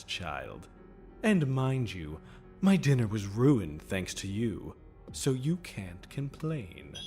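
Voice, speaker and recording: Male demon, slow drawl, swooping pitch, mischievous, flirtatious, menacing, audio drama. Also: darkish tone. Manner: languid, teasing, drawn-out phrasing with pet names.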